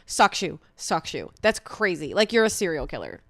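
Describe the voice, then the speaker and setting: A woman's voice: lowish voice, sing-song, normal speed, dry recording. Chatty young woman, dry close sound. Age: late 20s. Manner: chatty, teasing, swears casually. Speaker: podcast co-host. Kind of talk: casual banter between friends.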